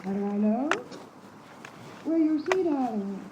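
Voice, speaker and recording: High-voiced catty schemer, old radio. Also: female voice, expressive, unhurried. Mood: conspiratorial, cooing. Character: catty, scheming woman, sweetly arch and accusing. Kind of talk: old-time radio drama acting. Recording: noisy, muffled, echoey old radio recording.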